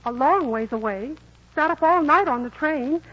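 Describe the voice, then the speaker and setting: Soft-spoken, shy Cindy Lou. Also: female voice, high voice, expressive, normal speed. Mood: pleading. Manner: soft, pleading. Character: earnest shy country girl Cindy Lou. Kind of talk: 1940s radio acting. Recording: dull old radio broadcast.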